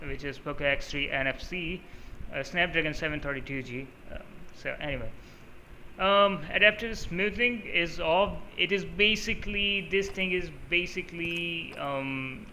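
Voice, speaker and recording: A man's voice: a little high, pushed and bright, a little hiss or hum, low-quality recording. A man whose first language is Urdu, giving a loose, rambling settings walkthrough over a screen recording, with plenty of filler sounds. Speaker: mobile gaming channel host. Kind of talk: casual off-the-cuff settings walkthrough.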